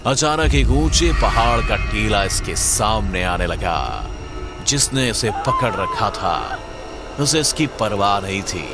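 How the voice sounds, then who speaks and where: Male narrator, lowish pitch, very unhurried, dramatic, suspenseful scripted storytelling, heavy music bed. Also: wildly swooping pitch.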